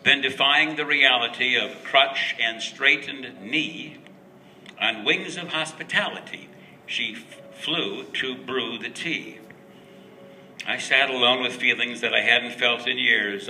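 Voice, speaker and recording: A man giving an expressive, dramatic recitation of a rhyming story, with deliberate pauses. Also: medium pitch. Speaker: reader of a Christmas verse story. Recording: roomy, distant mic with faint noise.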